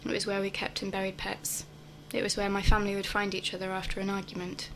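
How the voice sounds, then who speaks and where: A woman's voice: medium pitch, completely flat delivery, quick pace, fairly bright tone, close to an everyday voice, poor audio. A young woman's mid-range voice an actress in role delivers a scripted, personal radio-play monologue. There is faint noise behind it. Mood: wistful. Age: young adult. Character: wistful young woman longing for home.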